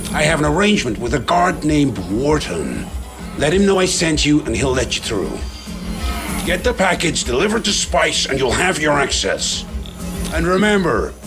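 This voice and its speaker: Gruff gravelly crime boss, smug, menacing, widely swinging pitch, music behind, video game. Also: male voice. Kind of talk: video game cutscene acting.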